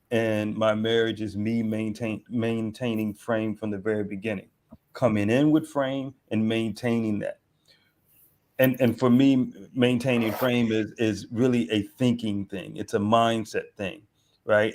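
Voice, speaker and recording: A married man hosting a casual discussion, giving earnest advice to other men. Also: fairly low pitch. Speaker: host, coach.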